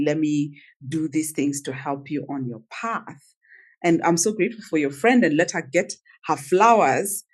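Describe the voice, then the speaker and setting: A woman's voice: deep voice, slightly rough. A woman hosting a podcast interview, affirming and reflecting on her guest's story. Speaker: podcast host.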